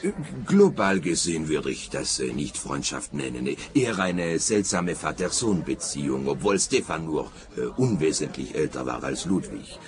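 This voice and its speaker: An actor in a radio play playing a self-important, evasive bank director who talks in a halting, stuffy way. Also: male voice, very deep voice, very animated. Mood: evasive, dismissive. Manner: halting, stuffy, full of drawn-out hesitations.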